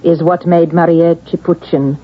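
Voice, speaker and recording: Female psychiatrist, husky low voice, guarded, clinical, vintage radio drama, dull sound. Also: steady tone. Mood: sceptical, probing. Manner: measured, clinical, hesitant about feelings. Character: guarded, probing female psychiatrist, reserved about feelings.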